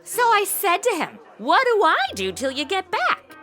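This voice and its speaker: A woman's voice: very high voice, huge pitch swings, moderate pace, pushed and bright, faint music behind, heavily acted. An actress playing a ditzy, flippant modern young woman, slangy and gushing, in a comedy audio drama. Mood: exasperated, flippant.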